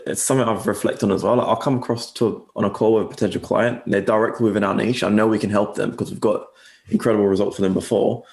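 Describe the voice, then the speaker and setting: A man's voice: on the low side, steady tone, quick pace, vocal fry, a little mellow, reverberant. A young man with a mixed English and Australian accent, chatting casually as a guest agency owner, rambling and correcting himself with lots of fillers. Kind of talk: casual interview chat.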